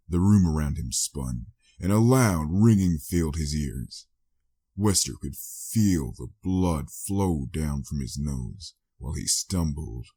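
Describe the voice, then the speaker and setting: Low voiced guy reading a story out dramatically, bit raspy, really animated delivery. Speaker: audiobook narrator. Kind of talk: scripted dramatic story narration.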